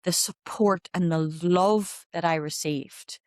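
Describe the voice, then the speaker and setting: A woman in her fifties with a Belfast Irish accent, telling her life story as an interview guest in a candid, animated way with quoted asides. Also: on the low side, takes it slowly, no room sound, clean studio sound. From Northern Ireland. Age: 50s. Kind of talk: reflective interview conversation.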